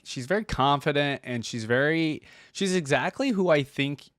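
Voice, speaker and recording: A man's voice: a little high, animated, normal speed, fairly bright tone, dry recording. Casual man reacting, dry close sound. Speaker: reaction video host.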